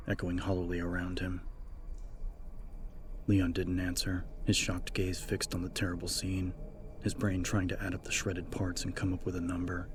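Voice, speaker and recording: A man's voice: low-pitched, noisy background. A man reading scripted horror fiction as a dramatic audiobook narrator.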